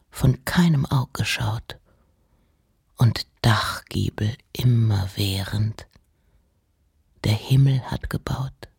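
Actress as a slow, low-voiced, intense woman poet. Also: exaggerated voice. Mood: hushed wonder. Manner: measured, intimate, often dropping to breathy whispers. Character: reflective, intense woman poet speaking her letters and verse. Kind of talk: slow dramatic poetry recitation.

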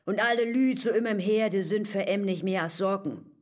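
A woman's voice: mid-range voice, normal speed, lightly acted. An actress with a northern German accent playing an outspoken, mocking woman friend in a verse radio play, emphatic and teasing. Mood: emphatic disdain. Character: brash, shrewd, outspoken female confidante, fearless and mocking.

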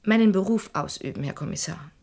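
An actress playing a cool, mysterious and resolute pianist in a radio play, speaking in a controlled, formal, unhurried way. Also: female voice, fairly low pitch, animated, gravelly, darkish tone, slightly rough, dry recording, full character voice. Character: cool, composed, mysterious female pianist, resolute daughter of murdered man. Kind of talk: 1970s German radio play acting.

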